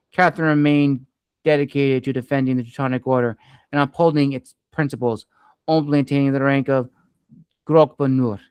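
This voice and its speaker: A man, the author, reading his own story aloud in a measured, expressive way. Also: mid-range voice, smooth, no room sound.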